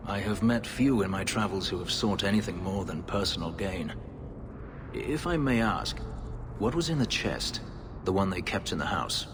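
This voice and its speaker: A man's voice: deep voice, lively intonation, normal speed, background noise. An actor playing a brooding male elf warrior and escaped slave, speaking in a grave, formal, terse way. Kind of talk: video game dialogue. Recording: game music underneath. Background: game score.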